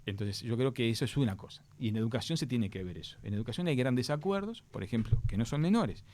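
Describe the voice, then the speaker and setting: A middle-aged Uruguayan man speaking in an earnest, explanatory way, often restarting his sentences. His pitch rises and falls a lot as he makes his points. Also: expressive, fast talker, a little mellow. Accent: Uruguayan Spanish. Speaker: education guest. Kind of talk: radio interview answers.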